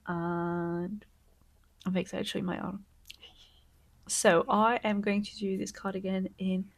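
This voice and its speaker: A young woman knitting vlogger, congested from a cold, chatting excitedly to camera and sometimes slipping into a soft whisper. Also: mid-range voice, gravelly. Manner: gushing and excitable, often dropping to a soft whisper. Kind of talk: casual vlog chat to camera.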